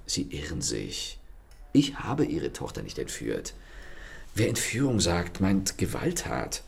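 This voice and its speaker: An actor playing a charming, ironic gentleman thief in a radio play, speaking with smooth, courteous mockery. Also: low-pitched, wildly swooping pitch, takes it slowly, exaggerated voice. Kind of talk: radio drama acting. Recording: studio radio play with faint music.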